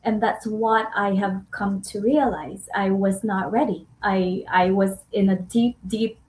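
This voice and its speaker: A woman's voice: medium pitch, fairly even intonation, a little slow, a little mellow, echoey room, low-quality recording. A woman hosting a casual live-stream chat, chatty, laughing often and restarting her sentences.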